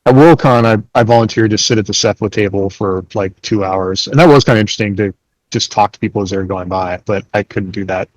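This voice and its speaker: A man with a fairly low voice talks as a podcast co-host. The sound is dry, with no room around it, and his delivery is informal and conversational. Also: fast talker, dry recording, low-quality recording. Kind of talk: casual chat.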